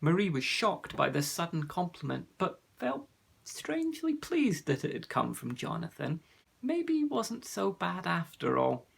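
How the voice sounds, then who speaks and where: A man narrating a children's fantasy audiobook in a gentle storytelling manner. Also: high-pitched, huge pitch swings, a little slow, gravelly, breathy edge.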